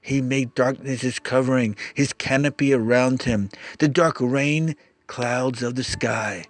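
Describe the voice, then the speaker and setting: A man giving a solemn, dramatized scripture reading, with deliberate pauses and a dramatic delivery. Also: mid-range voice. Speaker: scripture reader. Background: music.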